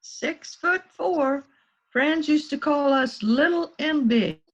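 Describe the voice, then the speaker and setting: A woman's voice: high-pitched, takes it slowly. A woman playing a small, innocent-sounding murder suspect in an amateur mystery reading over dull call audio. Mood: innocent, explaining. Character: small, guileless-sounding female murder suspect spinning an alibi. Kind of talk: amateur readers theater mystery.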